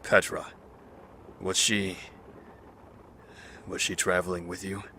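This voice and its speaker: A man playing a calm, learned, considerate survivor in an audio drama, speaking formally and carefully. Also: low-pitched, takes it slowly, a little hiss or hum, full character voice. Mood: gentle, concerned.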